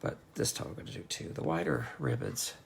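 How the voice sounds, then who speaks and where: A man demonstrating card making in a casual, rambling way, with a hoarse, sometimes nasal voice. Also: brisk. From North Carolina. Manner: chatty and rambling, frequent fillers and restarts.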